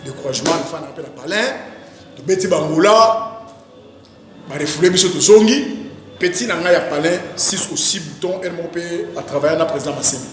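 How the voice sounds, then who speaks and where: A man's voice: a little high, a little fast. Echoey Congolese man recounting in a rambling way. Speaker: stage actor being interviewed. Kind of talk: casual interview, recounting career. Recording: very echoey reverberant room, music behind. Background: music.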